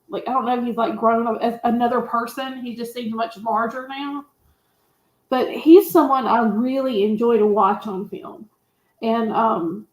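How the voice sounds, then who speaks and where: In a noticeably echoey room, a woman chats about films as a co-host. Her voice sits fairly high, and her phrasing is informal and folksy. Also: darkish tone. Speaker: movie podcast co-host. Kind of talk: casual movie discussion.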